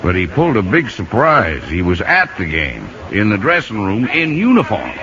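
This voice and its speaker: An actor in a 1950s radio drama playing a plain-spoken, concerned baseball scout who tells the story in a folksy, earnest way. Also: male voice, on the low side, huge pitch swings, normal speed, bright, forceful tone, full character voice. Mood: reflective. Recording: noisy, muffled 1950 radio recording.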